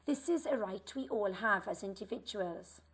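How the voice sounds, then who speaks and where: A woman's voice: medium pitch, lively intonation. Woman reading an assembly address. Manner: clear, measured, formal reading. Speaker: teacher presenting a school assembly. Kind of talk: read school assembly address.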